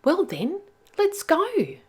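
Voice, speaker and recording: A woman voicing a plucky young female dingo pup in a children's storybook, brisk and encouraging. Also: very high-pitched, huge pitch swings, darkish tone, voice pushed up, exaggerated voice. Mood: eager, brisk.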